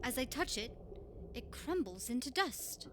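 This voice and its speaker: A woman's voice: sits high, very animated, unhurried, a bit bright, a little hiss or hum, exaggerated voice. An actress playing a superstitious, foreboding woman in an old-time radio horror drama, ominous and pleading. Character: foreboding, superstitious woman warning of ancient evil.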